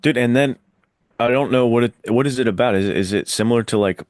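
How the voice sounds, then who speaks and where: A man's voice: mid-range voice, a little fast, dry recording, clean studio sound. A man chatting casually on a podcast about books in slangy buddy talk. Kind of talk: casual podcast book chat.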